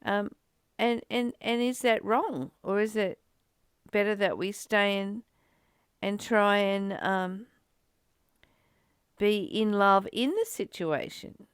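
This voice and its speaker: A woman in a reflective spiritual discussion, speaking earnestly and haltingly as she talks or reads aloud. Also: mid-range voice, clear, resonant tone, no room sound, clean studio sound. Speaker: study group reader and discussion partner.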